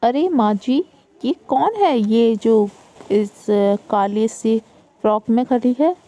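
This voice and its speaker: A woman's voice: on the high side, slow, vocal fry, mellow. A woman voicing a sly elder daughter-in-law who taunts and gossips, in a raised put-on voice. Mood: mocking. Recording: dull lo-fi recording.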